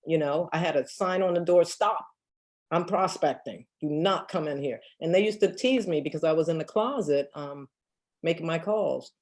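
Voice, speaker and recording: A woman's voice: low voice, normal speed, a little mellow. A woman in her fifties, a real estate agent answering questions in an emphatic storytelling way with frequent laughs. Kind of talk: casual storytelling Q&A.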